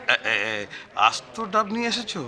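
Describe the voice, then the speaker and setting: A man's voice: high-pitched, sing-song, normal speed, pushed and bright, heavily acted. A man playing a fussy, ingratiating, scheming newspaper sub-editor in a radio play, effusive and roundabout in his talk. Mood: flustered. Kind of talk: 1990s radio play acting.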